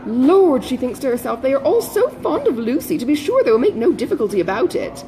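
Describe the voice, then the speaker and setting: A woman voicing an excitable, good-hearted older society lady who gossips in breathless exclamations, in a classic novel audiobook. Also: high voice, a little fast, pitched above the performer's own voice. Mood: astonished, gossiping.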